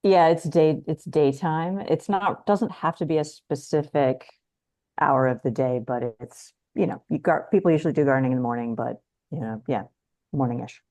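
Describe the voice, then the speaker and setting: Low, mellow-voiced woman answering casually. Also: normal speed, no room sound. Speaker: writer answering questions.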